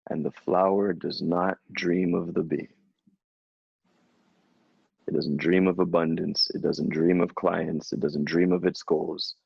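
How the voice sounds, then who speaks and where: A man in his thirties, a spiritual coach, giving a slow, soothing reading and then chatting casually with his client. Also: low-pitched, little pitch movement, gravelly, breathy edge, no room sound. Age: 30s. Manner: slow, soothing, affirming delivery. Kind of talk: guided channeled reading, then casual chat. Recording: dull lo-fi sound.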